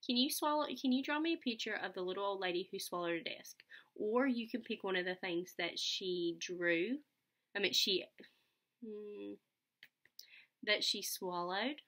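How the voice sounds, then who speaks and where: A woman's voice: medium pitch, moderate pace, fairly bright tone. A young adult woman reading a picture book aloud to children, explaining simply and putting questions to her listeners. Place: small furnished room at home, indoors. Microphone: computer webcam at about arm's length.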